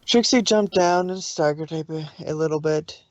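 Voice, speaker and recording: A woman's voice: low voice, moderate pace, dry recording. A woman narrates a story from a script. The recording is somewhat dull and lo-fi, with a very dry sound.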